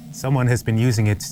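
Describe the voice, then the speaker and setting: A man playing a snide, unbothered android mechanic in a tabletop game, with dry, deadpan sarcasm. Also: mid-range voice, heavily acted. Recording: clear background noise, room ambience.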